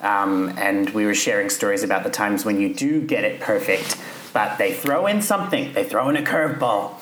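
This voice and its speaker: A man's voice: lowish voice, moderate pace, a bit bright. A man co-hosting a casual comedy podcast, telling anecdotes in a chatty way and laughing often. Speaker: podcast co-host. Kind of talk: casual comedic chat, storytelling. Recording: roomy echo with faint noise.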